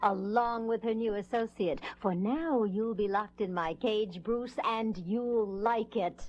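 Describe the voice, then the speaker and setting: An actress playing a seductive, scheming cat-themed villainess in a 1970s superhero audio drama, teasing and commanding. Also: female voice, mid-range voice, takes it slowly, a little mellow, lo-fi sound, close to an everyday voice. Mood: smug, commanding. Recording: noisy 1970s record transfer, clicks.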